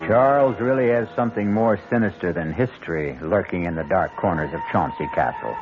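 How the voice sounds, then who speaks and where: An actor playing the macabre host of a vintage radio mystery, narrating in ominous, dramatic phrases. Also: male voice, low voice, a little fast, narrow, tinny audio, lightly acted. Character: macabre, sinister, deliberate male storyteller host. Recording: thin vintage radio recording with music.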